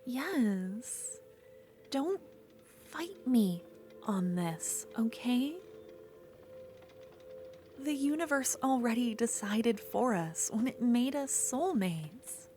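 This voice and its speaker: A woman playing an obsessive, possessive yandere duelist in an ASMR fantasy roleplay, speaking intimately and moving between soft speech and breathy whispers. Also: on the high side, expressive, very slow, long pauses, faint music behind. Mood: coaxing, obsessive.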